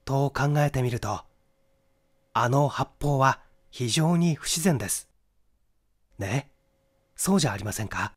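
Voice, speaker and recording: A man's voice: medium pitch, measured pace, long pauses, close to an everyday voice. A man voicing a clever, confident young amateur detective who explains his deductions methodically in a mystery audiobook. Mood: methodical, self-assured. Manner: explanatory, methodical, rhetorical questioning. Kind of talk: Japanese mystery audiobook acting.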